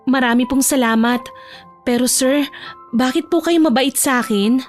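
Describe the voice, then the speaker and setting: A woman's voice: sits high, moderate pace, soft background music, lightly acted. A woman playing a meek, polite young female office newcomer in a romance audio drama, deferential and plaintive. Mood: apologetic, timid.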